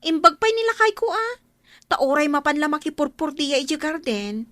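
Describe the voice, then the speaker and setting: Radio drama role: nosy, boastful married friend, high voice, Ilocano accent, dry studio sound , played by a woman. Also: normal speed, fairly bright tone, no echo.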